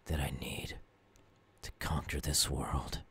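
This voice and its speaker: A man playing a tormented, menacing young ghoul king in an ASMR audio roleplay, in a breathy, often whispering voice with brooding phrasing. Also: rumbling low, steady tone, takes it slowly, a bit bright, exaggerated voice. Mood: menacing, hungry. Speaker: voice actor.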